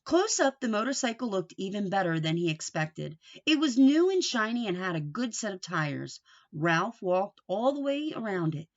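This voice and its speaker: A woman gives a read-aloud lesson for young children in a mid-pitched voice. She explains in simple phrases and puts questions to her listeners, reading with deliberate expression. The sound is a little dull and lo-fi.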